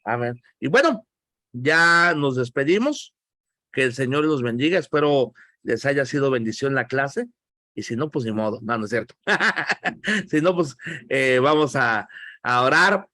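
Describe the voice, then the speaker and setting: A man's voice: medium pitch, sharp tone, no room sound, clean studio sound. A middle-aged man with a Mexican accent, a pastor teaching an online Bible class in a joking, emphatic, friendly scolding way.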